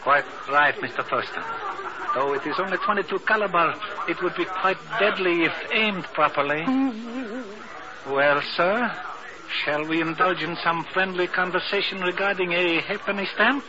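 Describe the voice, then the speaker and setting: An actor playing a menacing, smooth-talking gunman in a radio drama, speaking with formal, mocking politeness. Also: high voice, moderate pace, a crowd in the background, natural voice. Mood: smugly menacing. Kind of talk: 1950s radio drama acting. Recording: very noisy, dull 1950s radio recording.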